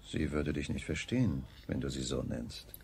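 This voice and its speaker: A man's voice: rumbling low, moderate pace, gravelly, a little mellow. An actor playing a cold, mocking king-father in a radio play, condescending and falsely soothing. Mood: cold mockery. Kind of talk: 1970s German radio play acting.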